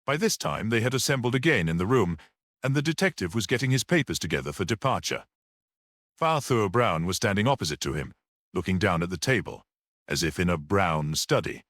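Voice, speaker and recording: A man narrates literary fiction in a low, animated voice. His reading is measured and expressive, with dramatic phrasing. The sound is dry and close. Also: no echo. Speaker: audiobook narrator.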